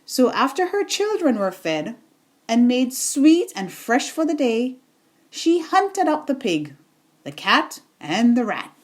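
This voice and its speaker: A woman reading a children's bedtime story in an expressive, deliberate storytelling manner. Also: high voice.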